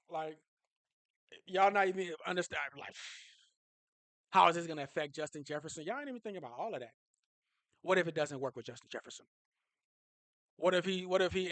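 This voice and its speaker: A young man ranting about his team, high-pitched and bright, animated and emphatic, often repeating a phrase to drive it home. He speaks close to the mic, and the sound is dry. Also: no room sound. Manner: animated, repetitive, laughing, emphatic. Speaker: sports fan vlogger. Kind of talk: casual fan reaction rant.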